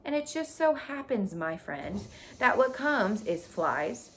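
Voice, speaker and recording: A woman's voice: fairly high pitch, sing-song, takes it slowly, slight background noise, pitched above the performer's own voice. A woman voicing a female spider in a children's storybook, with a thin, pleasant voice and a frank, breezy, bloodthirsty manner. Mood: defensive, proud. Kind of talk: children's storybook read aloud.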